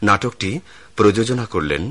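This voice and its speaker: A male radio announcer reading out the closing credits of a drama in a measured, formal way. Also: on the low side, no echo. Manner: measured, even reading of names.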